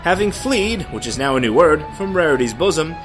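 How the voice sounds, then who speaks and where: A man with a fairly high voice reads a comic story aloud over music. His delivery is theatrical and sardonic, with pitch that swings widely and an exasperated edge to his asides. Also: very animated, fairly quick. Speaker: narrator of a story. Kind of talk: dramatic comedic fan fiction reading.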